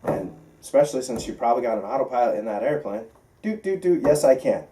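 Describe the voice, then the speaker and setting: A man's voice: mid-range voice, big pitch swings, fast, dark tone. An American man working as a flight instructor, giving an informal, conversational lesson with emphatic points and frequent check-in questions, picked up by a distant mic. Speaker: flight instructor teaching pilots. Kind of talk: informal classroom lecture with questions.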